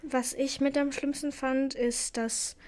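A woman's voice: high voice, little pitch movement, slow pace, a little mellow. A teenage girl from Afghanistan, co-hosting a casual chat and telling stories in an earnest, indignant way. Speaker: co-host.

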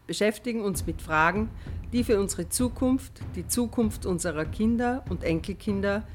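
A woman's voice: mid-range voice, normal speed, slight background noise. A woman of sixty-four giving a prepared personal testimonial. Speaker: member of senior activist group.

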